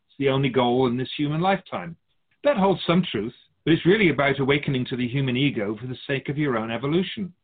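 Radio drama spirit guide, acted by a man: wry, didactic sage, husky and lively in pitch, narrow telephone-like sound. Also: natural voice. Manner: didactic, wry, formal phrasing. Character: Keeper of Souls, wise, wry otherworldly male spirit guide. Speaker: spirit teacher answering questions. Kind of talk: scripted radio drama dialogue, expository.

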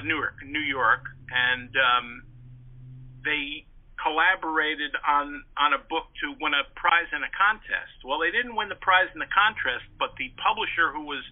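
A man giving detailed, talkative explanations as a historian guest in an informal interview, speaking over the telephone. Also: a little high. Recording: narrow-band phone-like radio line.

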